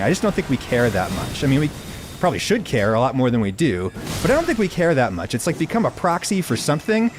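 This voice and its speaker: A man's voice: medium pitch, a little hiss or hum. An American man hosting a podcast interview, thinking aloud with a lot of ums and uhs. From United States. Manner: rambling, thinking aloud with many fillers. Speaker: podcast host.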